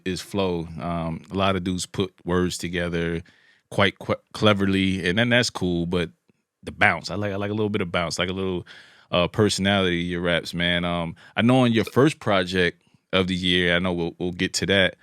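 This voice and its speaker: Male podcast host, 40s, from Brooklyn; low voice, rambling easygoing delivery, dry close mic. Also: moderate pace, clear, resonant tone, no room sound. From Brooklyn, USA. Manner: relaxed, rambling, many restarts. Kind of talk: casual interview.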